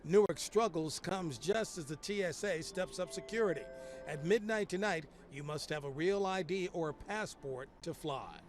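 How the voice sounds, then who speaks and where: A man's voice: a little high, normal speed, faint music behind. An American man reporting for broadcast news as a field reporter outdoors at an airport. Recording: clear outdoor airport and traffic noise.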